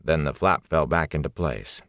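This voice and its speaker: A man's voice: rumbling low, moderate pace, a bit bright, no echo. A man reading a fantasy novel as a scripted audiobook narration, close on the microphone.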